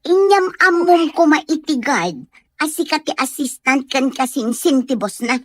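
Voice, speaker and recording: A woman's voice: high voice, expressive, a little slow, no room sound. A woman with a Filipino accent playing an indignant, haughty, demanding woman in an audio drama. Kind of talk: Ilocano audio drama acting.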